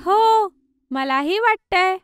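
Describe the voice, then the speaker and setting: Very high-pitched little cartoon girl. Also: takes it slowly, soft background music, full character voice. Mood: cautious. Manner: childlike, expressive. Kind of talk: children's cartoon voice acting.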